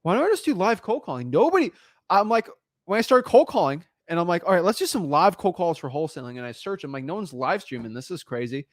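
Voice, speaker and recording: A young man hosting a casual chat, telling stories in an energetic, animated way. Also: high-pitched, no echo, clean studio sound. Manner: energetic, fast-talking, animated storytelling. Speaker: podcast host.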